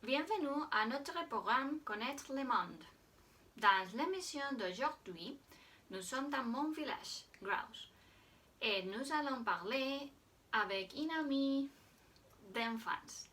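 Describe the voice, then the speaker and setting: High, slow-spoken woman host. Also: expressive, recorded from a distance. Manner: slow, careful, simple questions with soft murmured acknowledgements. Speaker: host interviewing a childhood friend. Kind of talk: scripted interview questions in learner French.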